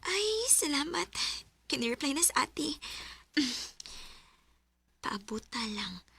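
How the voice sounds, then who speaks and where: An actress playing a lovesick young female student in a radio drama, often musing in hushed whispers. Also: sits high, big pitch swings, slow pace, vocal fry, a little hiss or hum, heavily acted. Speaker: lead character and narrator. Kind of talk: Visayan radio drama acting.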